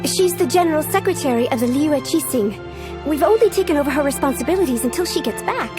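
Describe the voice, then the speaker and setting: A woman's voice: high voice, animated, quick pace, over music. A woman playing an earnest, worried young secretary in a video game. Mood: concerned.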